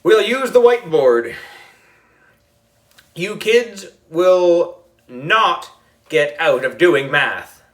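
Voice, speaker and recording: A man's voice: fairly high pitch, lively intonation, extremely slow, long pauses, fairly bright tone, roomy sound, distant mic, pitched above the performer's own voice, exaggerated voice. A man voicing a stern, exasperated male schoolteacher with a firm, commanding manner in a children's storybook.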